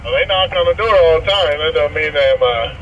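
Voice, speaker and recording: A man's voice: a little high, a little fast, a bit bright, highs cut off. A man chatting casually as a trucker, heard through a CB radio. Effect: CB radio transmission. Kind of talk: casual chat. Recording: distant CB speaker, faint vehicle noise. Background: faint vehicle noise.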